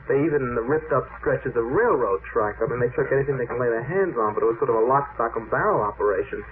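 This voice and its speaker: Male radio panelist, explanatory talk, medium pitch, narrow tinny vintage sound. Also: fast talker. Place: radio studio. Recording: old radio broadcast, tinny and hissy.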